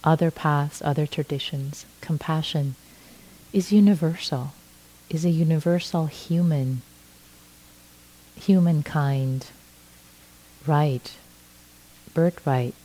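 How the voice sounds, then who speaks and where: A middle-aged woman from Iran, a native Farsi speaker, giving a gentle dharma talk as a meditation teacher, often restarting her phrases. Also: sits low, low-quality recording. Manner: slow, gentle, with frequent restarts.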